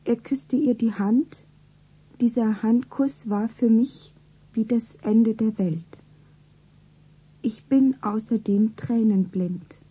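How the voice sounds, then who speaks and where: Soft, breathy, lovelorn young woman. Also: a little high, takes it slowly, exaggerated voice, rough recording. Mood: wistful, wounded. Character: lovelorn, naive young shopgirl. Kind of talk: stylised radio drama, self-narrating.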